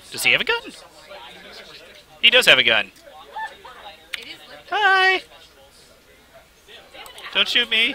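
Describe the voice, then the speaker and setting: Male game streamer, sniffly with a cold, chatty and excitable, bright edgy voice. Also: mid-range voice. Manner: excitable, talks to himself while playing. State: has a slight cold, sniffling. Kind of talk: live let's play commentary. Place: game studio event.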